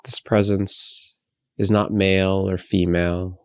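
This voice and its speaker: A man leading a guided meditation in a gentle, unhurried way, leaving long pauses between phrases. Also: sits low, little pitch movement, no room sound. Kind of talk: guided meditation, soothing instruction.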